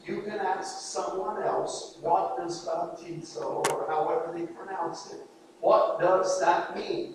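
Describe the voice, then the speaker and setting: High-voiced man preaching in an echoing hall. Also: noisy background. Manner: earnest, pleading, emphatic repetition. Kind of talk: sermon. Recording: echoey hall, voice from far off.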